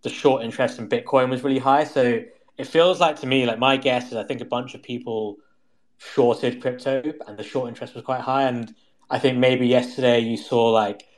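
A man with a medium-pitched voice talks through market analysis casually. He explains step by step in a conversational style, often qualifying what he says and searching for his wording. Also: steady tone, normal speed. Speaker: market commentator guest.

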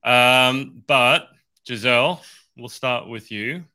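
Teasing male podcast host, bright voice. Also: mid-range voice, slow. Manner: teasing, jokey. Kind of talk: casual chat.

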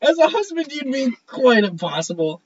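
A woman's voice: high-pitched, very animated, normal speed, dull audio, slight background noise. A woman playing a haughty, self-important widow in a comedic play reading, speaking in a grand, emphatic, theatrical way. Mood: lofty, self-righteous.

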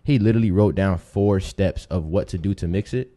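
A man's voice: low voice, fairly quick, dark tone. A young American man of about twenty-one chatting casually as a podcast guest, telling stories in informal street slang. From Tampa, Florida, United States. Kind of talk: casual chat, storytelling.

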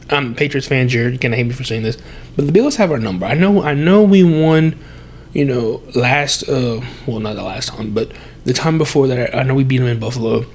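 A man's voice: fairly low pitch, creaky, a little hiss or hum. A young adult man hosting a solo sports podcast, congested and with a sore throat, chatty and breathing heavily as he corrects himself. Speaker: podcast host.

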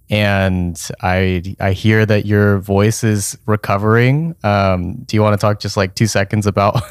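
A man's voice: on the low side, a little mellow, no echo, clean studio sound. Earnest young man podcast co-host. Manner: explains definitions earnestly, some "um" fillers. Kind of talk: casual educational podcast chat.

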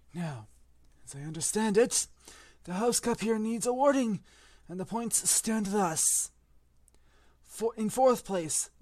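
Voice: medium pitch, a little mellow, no room sound. A reader voicing an old, whimsical male headmaster giving a genial, rambling speech. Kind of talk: audiobook reading.

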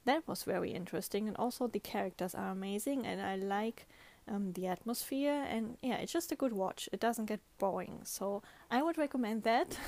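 A woman's voice: mid-range voice, darkish tone. A young woman with a German accent, speaking English as a non-native speaker and chatting casually on her podcast, often restarting her sentences. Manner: chatty, self-correcting, with frequent restarts. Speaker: podcast host. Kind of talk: casual monologue.

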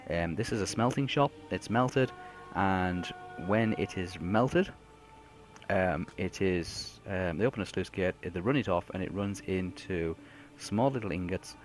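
A man talks in a lowish voice, working through a fantasy scene as game master. He rambles, stalls on fillers and restarts his sentences. Clear music plays underneath him. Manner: rambling, halting with many fillers. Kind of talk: narrating a fantasy tabletop game.

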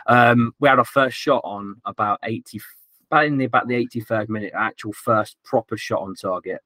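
Bright, dry-voiced man as a rueful football fan. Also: medium pitch, brisk, no echo. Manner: self-deprecating, laughs often, rueful. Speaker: podcast guest, football fan. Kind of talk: casual football podcast chat.

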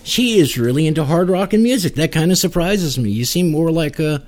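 A man's voice: on the high side, animated, fairly quick, a little mellow. A man hosting a music podcast with casual chat and jokey, dry banter. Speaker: podcast host.

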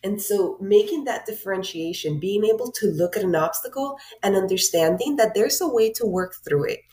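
A woman's voice: mid-range voice, lively intonation, normal speed, dark tone. A woman from Honduras who works as a life coach, speaking earnestly as a podcast guest. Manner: earnest, reflective, encouraging. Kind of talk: casual podcast interview.

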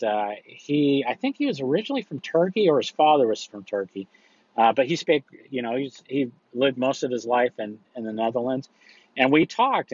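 A man's voice: medium pitch, no room sound, muffled recording. A man hosting a podcast, telling a conference story in a casual monologue full of fillers and restarts. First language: English. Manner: rambling, frequent fillers and restarts. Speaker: podcast host.

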